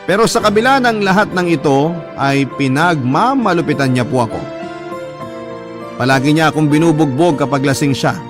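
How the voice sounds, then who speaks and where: A man's voice: on the high side, lively intonation, normal speed. A man reading a first-person letter as scripted dramatic narration for a story programme. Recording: prominent music behind the voice. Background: prominent background music.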